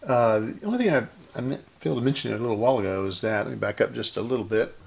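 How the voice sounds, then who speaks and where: A man's voice: medium pitch, animated, fast talker, vocal fry, darkish tone, slightly rough. A man narrating a hands-on repair in a casual, methodical way, thinking aloud as he works. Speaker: host of a radio repair channel.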